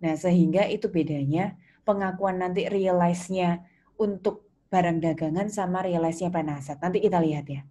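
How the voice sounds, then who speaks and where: A woman's voice: on the low side, normal speed, mellow. A woman with an Indonesian accent lecturing informally to students, explaining conversationally and checking understanding with questions. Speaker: accounting lecturer.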